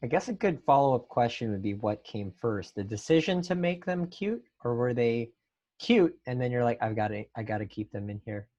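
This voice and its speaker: A man's voice: mid-range voice, animated, fairly quick, darkish tone. A man hosting a casual interview, reading out questions and chatting, on a dull lo-fi connection. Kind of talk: casual moderated chat.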